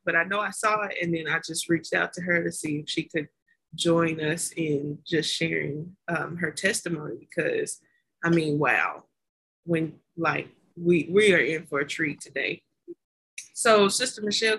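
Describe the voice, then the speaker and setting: A woman's voice: fairly low pitch, slightly rough, low-quality recording. A woman with a Southern American accent hosting a faith podcast, chatty and laughing at her own slip-ups. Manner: warm, chatty, stumbles over words and laughs at herself. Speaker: podcast host. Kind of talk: casual faith podcast interview.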